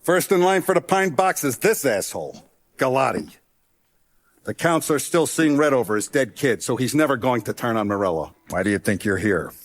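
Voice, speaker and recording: A man's voice: mid-range voice, animated, no echo, close to an everyday voice. Guy playing a hardened mob boss from a video game, commanding, calculating, streetwise, dry close sound. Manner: deliberate, authoritative, streetwise gangster talk. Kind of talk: video game character acting.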